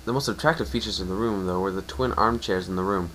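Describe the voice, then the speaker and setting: Low-voiced man narrating a story. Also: quick pace, a bit bright, a little hiss or hum, poor audio. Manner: stumbles and rereads phrases. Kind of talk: dramatic reading of fan fiction, second-person narration.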